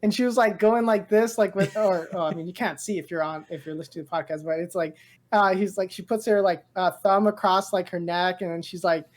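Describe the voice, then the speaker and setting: A woman's voice: lowish voice, fairly even intonation, fairly quick. A woman co-hosting a pop culture podcast, chatting casually about movies in a rambling way, often repeating and interrupting herself. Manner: rambling, frequent self-interruptions and repeated words. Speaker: podcast co-host.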